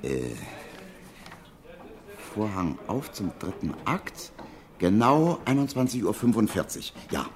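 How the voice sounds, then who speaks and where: An actor playing a harried, plain-spoken theatre stage manager in a German radio crime drama, slightly flustered and hesitant. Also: male voice, fairly low pitch, sing-song, takes it slowly, pauses often, a little mellow. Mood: precise.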